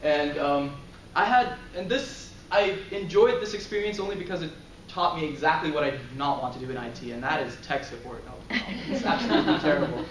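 A man's voice: on the high side, fairly quick, hardly any pauses, fairly bright tone, slight background noise. A young man giving a casual class presentation about himself, with frequent ums and light chuckles. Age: young adult. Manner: casual, filler-heavy, chuckles often. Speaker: student presenter. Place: lecture room, in front of a projector screen. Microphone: far camera. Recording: roomy, distant camera audio.

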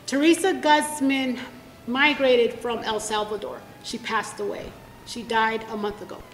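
A woman's voice: on the high side, steady tone, unhurried, a little hiss or hum. A woman speaking at a library poetry reading from a podium microphone, switching freely between English and Spanish. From United States. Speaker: poet reading at a library event. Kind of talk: spoken introduction to a poetry reading. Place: library event room. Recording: echoey room, long reverb.

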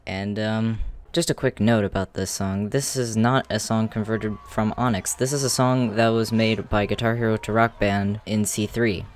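A person giving casual gameplay commentary in a halting way with false starts, in a room at home. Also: mid-range voice, fairly even intonation. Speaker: streamer demonstrating a game.

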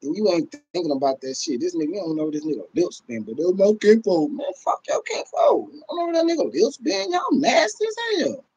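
Husky, high-pitched, animated American man. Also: brisk, hardly any pauses, dull audio. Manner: blunt, profane, emphatic, repeats himself. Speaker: livestream host. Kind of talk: casual livestream rant to viewers. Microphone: phone or webcam at arm's length.

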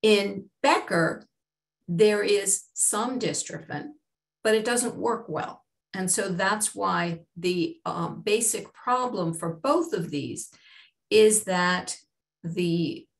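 A middle-aged woman with a Boston accent, presenting a medical webinar over a video call in a measured, explanatory way. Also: lowish voice, gravelly. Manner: measured, explanatory, slow and deliberate. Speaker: chief medical officer. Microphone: webcam on a video call.

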